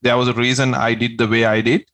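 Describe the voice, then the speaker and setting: A man's voice: mid-range voice, flat delivery, fast talker, a bit bright. A man from Sri Lanka, a tax agent answering interview questions in an earnest, step-by-step way.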